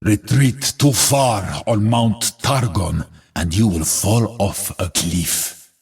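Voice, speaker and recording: A man voicing a grim, resolute warrior hero in a video game, raspy and gravelly, making weighty, defiant pronouncements. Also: fairly low pitch, slow, darkish tone, exaggerated voice. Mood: solemn, defiant.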